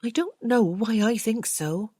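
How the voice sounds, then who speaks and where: Woman putting on a chatty, higher-pitched servant woman. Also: a little slow, warm tone, breathy edge, no echo, studio quality. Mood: gossipy. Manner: gossipy, colloquial.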